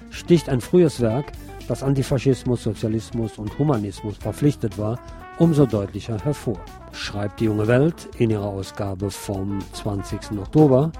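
A man hosting a radio programme, reading scripted narration in a measured, deliberate way. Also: medium pitch, mellow. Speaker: radio show host.

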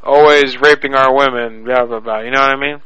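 Pushy prank-calling man, bright voice. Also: medium pitch, steady tone, a little fast, clear, resonant tone, no room sound, dull audio. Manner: mock-serious, crude, pushy. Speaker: prank caller. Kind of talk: prank phone call.

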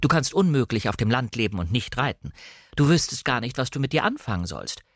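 A man's voice: medium pitch, sing-song, brisk, no room sound, clean studio sound. A man voicing a bossy, outspoken upper-class country woman in a dramatic novel reading. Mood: brisk, bossy. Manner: outspoken, chiding.

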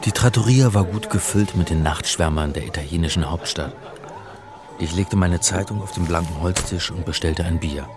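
An actor playing a middle-aged scholar of religion who narrates a radio crime drama in an articulate, slightly pedantic way. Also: male voice, low voice, normal speed, natural voice. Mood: chatty, self-assured. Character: bookish male scholar of religion narrating his story.

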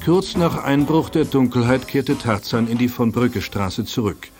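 A man's voice: medium pitch, lively intonation, unhurried, music in the background. A man reading scripted narration as the storyteller of a detective audio drama.

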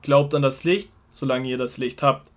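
A man playing a solemn, earnest male prophet and teacher in an audio drama of the Gospel, speaking in measured declarations. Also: mid-range voice, fairly even intonation, close to an everyday voice. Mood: solemn, urgent. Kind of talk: audio drama Bible dialogue.

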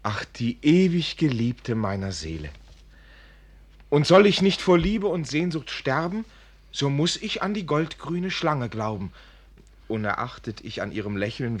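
An actor playing a dreamy, lovesick young student in a German radio play, lamenting fervently in flowery declamation. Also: male voice, mid-range voice, breathy edge. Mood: fervent pleading.

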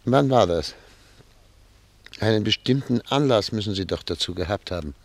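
A grave, troubled statesman in a radio play. The voice is low and creaky, with a wide-swinging range. He speaks formally and broodingly, as someone used to authority, in a very dry recording space. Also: expressive, moderate pace, dry recording. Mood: puzzled, probing. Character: troubled, grave male statesman addressed as Excellency. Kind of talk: German radio drama acting.

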